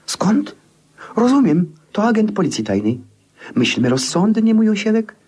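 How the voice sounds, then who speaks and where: A high-voiced, pompous dandy. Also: male voice, big pitch swings, a little slow, a little mellow, husky, full character voice. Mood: agitated. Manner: pompous, theatrical self-presentation. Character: excitable, dandyish gentleman, a maker of false noses. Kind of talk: 1950s grotesque radio play acting. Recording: 1957 radio play recording.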